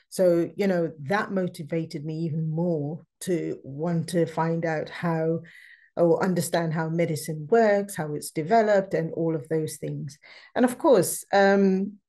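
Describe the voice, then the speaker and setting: A woman's voice: on the low side, dark tone. A woman in her fifties from Zambia, giving a conference presentation over slides in an earnest way, with frequent fillers. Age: 50s. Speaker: conference speaker.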